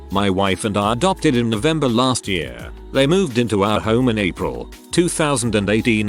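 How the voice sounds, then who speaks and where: A male narrator reads online stories in a measured, storytelling way. His voice sits on the low side but swings widely in pitch. Soft music plays underneath. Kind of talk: reading online posts aloud. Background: faint background music.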